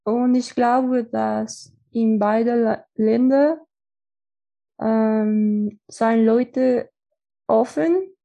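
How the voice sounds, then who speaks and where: A woman's voice: a little high, steady tone, drawn-out words, vocal fry, dark tone, lo-fi sound. A woman with a Mexican accent, a native Spanish speaker, chatting casually and hesitantly as she searches for words. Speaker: interviewee. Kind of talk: casual chat.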